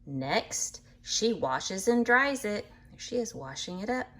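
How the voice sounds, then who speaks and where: A woman reading a picture book aloud to children in an animated way, pausing to explain things patiently in simple words. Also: mid-range voice. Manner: animated, acts out animal sounds, addresses young listeners. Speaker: read-aloud storyteller for children.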